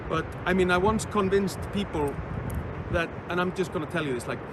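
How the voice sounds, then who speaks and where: A man from Iceland with an Icelandic accent, telling jokes in a playful, deadpan way as a troubadour. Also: sits high, a little fast, background noise. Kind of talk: comic storytelling.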